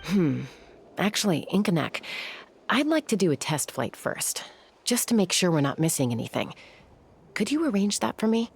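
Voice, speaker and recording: An actress playing a composed, confident adult female flier in a video game, calm and measured. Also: mid-range voice, vocal fry, a little hiss or hum. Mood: calm, persuasive. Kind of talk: video game dialogue acting.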